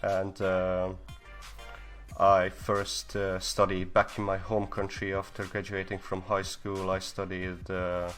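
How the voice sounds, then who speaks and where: A man's voice: sits low, completely flat delivery, takes it slowly, fairly bright tone, soft background music. A young man from Slovakia whose first language is Hungarian, casually introducing himself as a co-host on a live stream.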